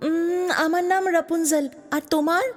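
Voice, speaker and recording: A woman's voice: very high-pitched, fairly even intonation, moderate pace. A woman voicing a timid, innocent captive maiden in a cartoon fairy tale, hesitant and anxious. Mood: flustered, timid. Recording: long hall reverb, music underneath.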